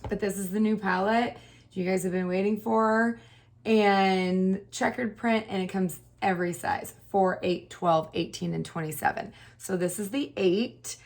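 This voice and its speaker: A woman with a hoarse, partly lost voice, chatting casually and laughing at herself while doing her makeup on camera. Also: medium pitch, moderate pace. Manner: chatty, self-deprecating, laughs often. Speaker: beauty YouTuber. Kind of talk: casual get-ready-with-me chat.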